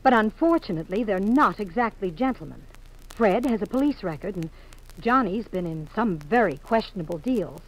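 A woman's voice: mid-range voice, expressive, moderate pace, lightly acted, rough recording. An actress playing an anxious, hesitant married woman with an imploring manner, in 1950s radio drama style. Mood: worried.

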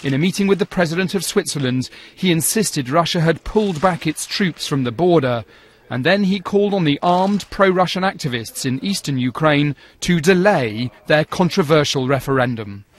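Male news correspondent, slightly high voice. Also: animated. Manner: measured, formal reporting. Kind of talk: scripted news report.

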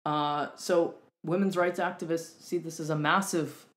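Young man, Canadian accent, talk show co-host, earnest, measured, deliberate pauses. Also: a little high, fairly even intonation, a bit bright. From Winnipeg, Canada. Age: young adult. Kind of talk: semi-scripted explainer for a talk show. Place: room at home with whiteboard and fridge, indoors.

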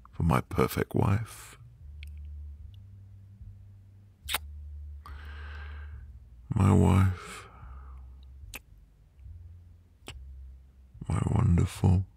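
A man playing a tender, devoted newlywed husband in a soft, intimate, romantic ASMR roleplay with lingering pauses. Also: low voice, fairly even intonation, gravelly, breathy edge, no room sound, exaggerated voice. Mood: adoring, caring. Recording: music behind the voice. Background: music.

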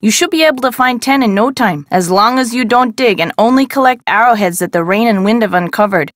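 A woman's voice: a little high, expressive, fairly quick, no echo, clean studio sound, natural voice. An actress playing a friendly middle-aged woman who runs a desert craft shop in a video game, talking in a folksy, conversational way. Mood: helpful, chatty. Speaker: shop owner character in an adventure game. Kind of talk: scripted video game dialogue.

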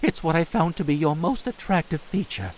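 A man's voice: on the high side, animated, fairly quick, a little hiss or hum, natural voice. A man playing an earnest, heartbroken snake demon with formal diction in an audio comic dub. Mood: hurt, earnest. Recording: background music. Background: music.

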